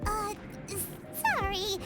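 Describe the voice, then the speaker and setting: A woman voicing a tiny, cheeky fairy companion in a video game, with a squeaky, childlike, chirpy voice. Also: very animated, slow pace, full character voice. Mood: worried. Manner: chirpy, refers to herself by name. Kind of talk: video game character acting. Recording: loud music behind the voice.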